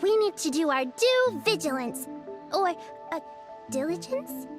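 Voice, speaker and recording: A woman's voice: very high-pitched, big pitch swings, unhurried, over music, heavily acted. A woman voicing a wry, self-important young girl in a video game, speaking with dry, slightly pompous seriousness.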